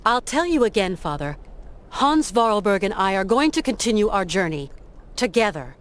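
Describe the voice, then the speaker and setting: Woman playing a plucky, expressive young heroine. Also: mid-range voice, moderate pace, lightly acted. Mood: firm, defiant. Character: determined, caring young woman adventurer, plucky and defiant. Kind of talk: adventure game dialogue.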